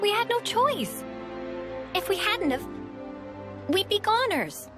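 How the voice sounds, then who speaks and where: An actress voicing a perky, loyal young girl soldier in a video game cutscene, with a bouncy, expressive and informal delivery. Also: very high voice, slow pace, music in the background, full character voice. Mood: indignant.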